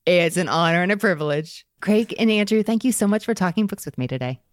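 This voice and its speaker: A woman's voice: fairly low pitch, expressive, fast, dry recording, clean studio sound. A woman hosting a book podcast, chatting with guests and addressing her listeners. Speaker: podcast host. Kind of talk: warm book-podcast hosting, interview and sign-off.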